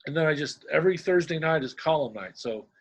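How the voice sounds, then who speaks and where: Deadpan middle-aged man, humor columnist. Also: a little high, brisk, lo-fi sound. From Indiana. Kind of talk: reading a humorous diary-style column, then casual talk.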